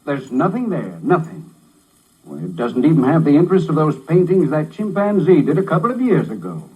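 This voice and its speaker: An actor playing a scornful, exasperated art critic in a 1950s radio drama, with emphatic, disdainful delivery. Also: male voice, a little high, sing-song, darkish tone, husky, rough recording. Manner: emphatic, disdainful, drawn-out words.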